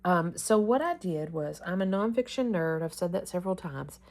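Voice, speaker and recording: Gravelly-voiced woman thinking aloud. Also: fairly low pitch, expressive, moderate pace, a little mellow. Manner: rambling, thinking aloud with many restarts. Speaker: reading challenge vlogger. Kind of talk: casual planning chat about a reading challenge.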